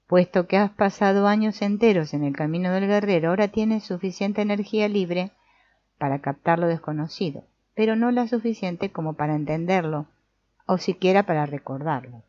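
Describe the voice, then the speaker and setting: A woman's voice: lowish voice, mellow, close to an everyday voice. A woman reading as an old sorcerer teacher. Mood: explaining. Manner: measured, explanatory reading with small restarts. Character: old male sorcerer teacher, calm and didactic. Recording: dull, muffled audio.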